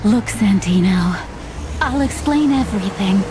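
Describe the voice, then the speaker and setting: An actress playing a poised, secretive young servant woman in a noir detective game, speaking in a measured, coy and evasive way. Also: mid-range voice, lively intonation, noisy background, lightly acted. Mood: composed. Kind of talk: film-noir game voice acting.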